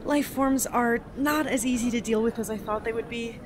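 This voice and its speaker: A woman's voice: fairly high pitch, normal speed, slight background noise, lightly acted. A woman playing a bewildered, politely exasperated young otherworldly newcomer in an audio drama, hesitant and dryly sarcastic. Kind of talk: audio drama acting with log narration.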